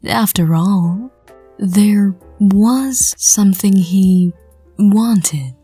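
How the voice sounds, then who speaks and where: A woman narrates a fan-made audio drama and speaks the listener's lines herself. She talks slowly, stumbling over words with nervous little laughs. Soft music runs quietly in the background. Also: mid-range voice, husky. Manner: stammering, laughing self-consciously.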